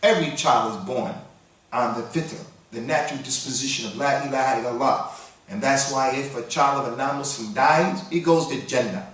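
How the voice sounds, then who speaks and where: A man from the United States giving a sermon, reciting Arabic verses quickly and then explaining them in English. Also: mid-range voice, a bit bright. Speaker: Islamic preacher. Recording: very echoey hall, voice from far off.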